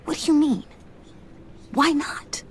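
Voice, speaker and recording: An actress playing a grieving, determined young woman in a video game, accusing, with a halting, emotional and intense delivery. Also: sits high, slight background noise. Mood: pleading, suspicious.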